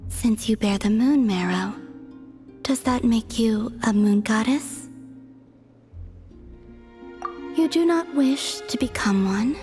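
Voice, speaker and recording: An aloof young moon goddess from a video game. Her voice is fairly high, soft and airy, and she speaks very slowly with grave, formal detachment. Music plays behind her. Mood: detached, matter-of-fact. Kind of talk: video game cutscene voice acting.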